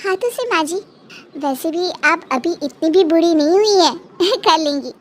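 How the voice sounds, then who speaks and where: A young cartoon daughter-in-law with a very high voice, played by a woman. She is sly and politely bossy, wheedling with sweet insistence. Faint noise sits behind her. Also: animated, normal speed. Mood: sweetly demanding. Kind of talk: Hindi cartoon moral story acting.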